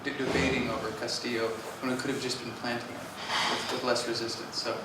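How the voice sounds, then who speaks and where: A man on a conference panel who talks in a measured, reflective way and uses steady fillers. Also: mid-range voice. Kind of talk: panel discussion. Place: conference hall stage. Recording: echoey hall, from far off, with clear background noise.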